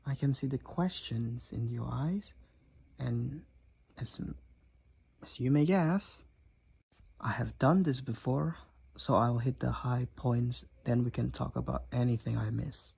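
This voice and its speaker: Male actor as candid demon college roommate for roleplay audio; raspy, slow-paced, teasing and blunt, speaking straight to the listener. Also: medium pitch, a little mellow, full character voice. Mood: matter-of-fact, wry.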